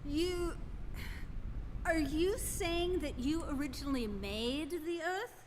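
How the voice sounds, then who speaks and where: Woman playing a very high-voiced, flustered Earth human. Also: takes it slowly, a little hiss or hum. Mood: incredulous. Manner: incredulous, flustered. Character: bewildered, exasperated ordinary Earth human. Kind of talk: live radio-play acting. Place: live stage performance. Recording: long hall reverb.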